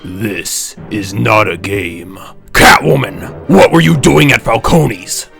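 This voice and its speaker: A man's voice: lowish voice, slow pace, gravelly, music in the background. A man playing a grim, brooding masked vigilante in a comic dub, terse and stern.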